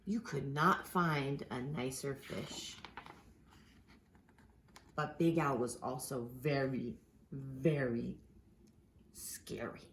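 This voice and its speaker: A woman reading a children's picture book aloud expressively, with dramatic pauses and drawn-out words for emphasis. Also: very deep voice, steady tone, a bit bright, a little hiss or hum. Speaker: children's storybook reader.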